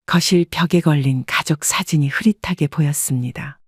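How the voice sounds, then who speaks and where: A woman narrating a story in the first person, calm and measured. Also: low voice, husky, no echo, studio quality.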